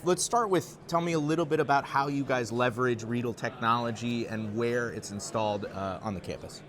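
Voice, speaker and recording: A man's voice: mid-range voice, fairly quick, fairly bright tone. A man conducting an upbeat, informal interview at a trade event. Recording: busy crowd noise behind. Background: convention crowd chatter.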